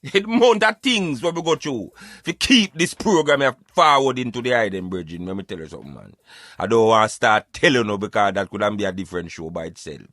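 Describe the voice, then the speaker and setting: Jamaican man, talk show host, patois, bright forceful tone, very lively pitch, dry close sound. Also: a little fast, dry recording. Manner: animated, emphatic, addressing listeners as brethren.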